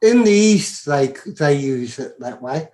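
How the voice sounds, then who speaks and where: A man talking as a podcast guest in a reflective, philosophical way, halting and often repeating his words. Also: fairly high pitch, animated, mellow. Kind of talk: reflective, spiritual casual talk.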